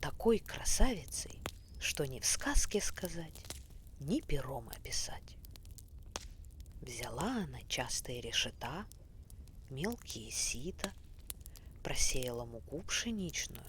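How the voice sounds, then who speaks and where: A woman narrating a bedtime fairy tale in a soft, often whispery, unhurried voice. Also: very deep voice. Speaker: storyteller. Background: faint fireplace crackle.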